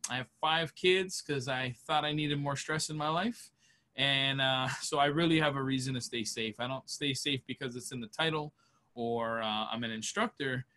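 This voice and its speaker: Easygoing man teaching a safety course. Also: medium pitch. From Hawaii. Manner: relaxed, conversational, plain-spoken. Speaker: safety instructor. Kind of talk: online training lecture. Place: small room at home, indoors. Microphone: webcam on a video call.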